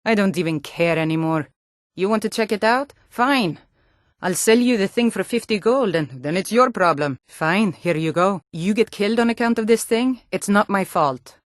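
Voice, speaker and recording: A woman's voice: mid-range voice, lively intonation, moderate pace, no echo, studio quality, lightly acted. A woman voicing a hardy, proud Nord warrior in a fantasy video game, speaking in an earnest, firm and plain-spoken way. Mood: fed up, grudging.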